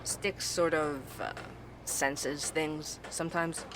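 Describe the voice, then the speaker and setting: Young investigative podcast host for audio drama, played by an actor; inquisitive, mid-pitched, background ambience. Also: steady tone, takes it slowly, noisy background, natural voice. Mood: wry, friendly. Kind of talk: audio drama dialogue and narration.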